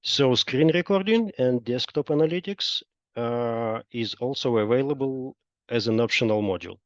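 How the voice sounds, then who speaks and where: A man presenting a software product in a webinar, explaining step by step with frequent pauses and hesitations. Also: medium pitch. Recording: dull lo-fi roomy online webinar audio.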